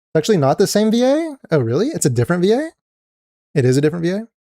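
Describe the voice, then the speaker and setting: Excited male streamer reacting. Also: sits high, racing, dry recording, clean studio sound. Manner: excited, chatty, many restarts. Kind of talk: live reaction commentary.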